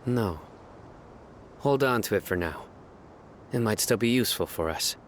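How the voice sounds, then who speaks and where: An actor playing a stern, watchful young desert warrior in a video game, speaking in a terse, serious way. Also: male voice, on the low side, lively intonation, measured pace, a little hiss or hum. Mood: firm. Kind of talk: game voice acting.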